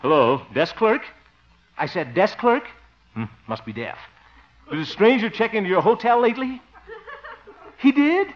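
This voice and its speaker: Flustered, bumbling mustached everyman, highish and very animated, vintage radio comedy, muffled recording. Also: moderate pace, long pauses. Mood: exasperated. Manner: theatrical, exclaiming, exasperated muttering. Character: flustered, bumbling small-town radio station employee, mustached man. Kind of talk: 1950s radio sitcom acting.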